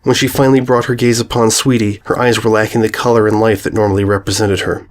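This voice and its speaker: Narrator for scripted fiction, mid-pitched storytelling read. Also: flat delivery, fast talker.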